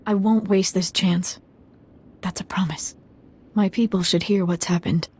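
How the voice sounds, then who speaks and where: An actress playing a remorseful village matriarch in a video game, speaking softly and earnestly to plead for her people. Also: medium pitch, steady tone, unhurried, breathy edge. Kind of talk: video game cutscene acting.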